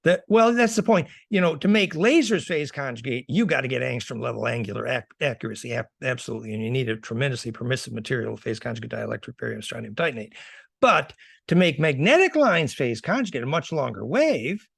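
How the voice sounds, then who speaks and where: A man with a fry-laced, gravelly voice in a very dry recording. He talks like a guest lecturer in an interview, didactic and thick with technical jargon. He repeats words to drive a point home. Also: mid-range voice, expressive, fast, dry recording. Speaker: guest lecturer on fringe physics. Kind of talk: technical explanation in a podcast interview.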